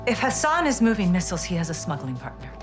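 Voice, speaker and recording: An actress playing a composed, determined American intelligence officer in a war game, speaking in a clipped, serious, matter-of-fact way. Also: female voice, fairly low pitch, big pitch swings, fast. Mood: firm, determined. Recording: loud music behind the voice.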